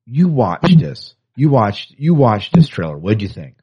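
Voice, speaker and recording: A man's voice: medium pitch, sing-song, a little slow, mellow, slightly rough. A man co-hosting a podcast, chatting casually and with a fan's enthusiasm about entertainment news, on a lo-fi recording. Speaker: podcast co-host. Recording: dull, lo-fi podcast audio.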